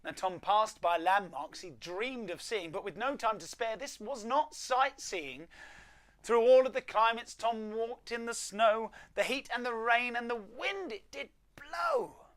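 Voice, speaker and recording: A performer reading a rhyming storybook aloud to children at home, with theatrical pauses and emphasis. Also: mid-range voice, expressive, fairly bright tone.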